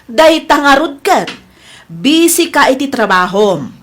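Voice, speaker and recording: An actress in a radio drama playing a pushy, scheming sister-in-law who talks in an insistent, coaxing way. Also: female voice, sits high, very animated, unhurried, fairly bright tone, full character voice.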